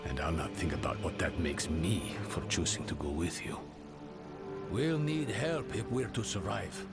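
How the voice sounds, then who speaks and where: An actor playing a wary, sardonic elder spirit-priest guide in a video game, with a dry, weighty delivery. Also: male voice, low-pitched, expressive, measured pace, loud music behind the voice. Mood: grave. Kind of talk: video game cutscene acting.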